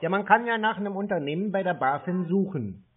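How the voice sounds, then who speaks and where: A man speaks through a narrow, tinny phone-like channel. His voice sits a little high. He sounds doubtful and keeps pressing his point in conversation. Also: lively intonation, fairly quick, breathy edge. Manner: sceptical, insistent. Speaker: scam baiter taking a call.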